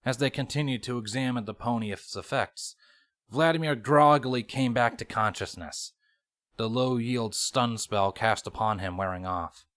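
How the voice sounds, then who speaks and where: A man's voice: medium pitch, normal speed, a bit bright. A man reading fan fiction as scripted audiobook narration, in a dramatic storytelling manner.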